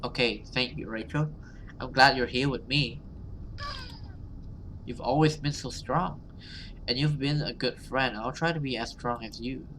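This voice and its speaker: Man reading frightened young woman, horror visual novel, anxious, vulnerable, bright voice, faint noise. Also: medium pitch, a little slow, pauses often, lightly acted, low-quality recording. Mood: grateful. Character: frightened young woman leaning on her friend.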